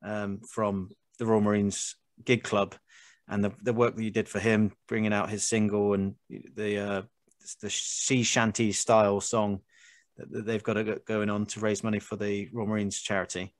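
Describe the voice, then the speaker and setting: A man's voice: fairly low pitch, flat delivery, moderate pace, no room sound. A man hosting an interview podcast in a conversational style.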